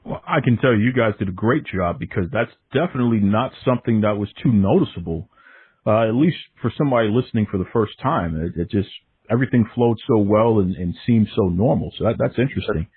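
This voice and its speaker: Host: Boston man, low husky voice, loose rambling questions, dry phone-line sound. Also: lively intonation, quick pace, no echo. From Northeast US, Boston area. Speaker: podcast host. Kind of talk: casual interview questions.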